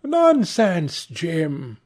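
A male reader acts out an affectionate young woman from a novel. The voice is pitched well up and a little breathy-rough, girlish and gushing, full of playful eagerness. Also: huge pitch swings, drawn-out words, a little mellow, full character voice. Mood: delighted, coaxing.

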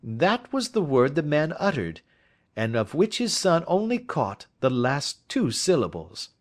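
A man's voice: a little high, very animated, measured pace, pitched above the performer's own voice. A man reading the part of a brilliant Victorian detective, who lectures in a precise, emphatic way. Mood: lecturing.

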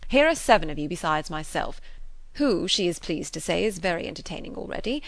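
Woman reading as a lively, impudent young gentleman. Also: mid-range voice, normal speed, dry recording. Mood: grandly announcing. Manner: teasing, theatrical, mock-formal.

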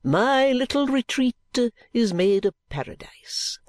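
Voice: mid-range voice, big pitch swings, a little mellow, dry recording, clean studio sound, pitched above the performer's own voice. A narrator playing a pompous, florid old dandy. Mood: gushing, affected. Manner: florid, grandiose, drawn-out delivery. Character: pompous, self-admiring elderly dandy gentleman, theatrically wounded. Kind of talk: audiobook character voice.

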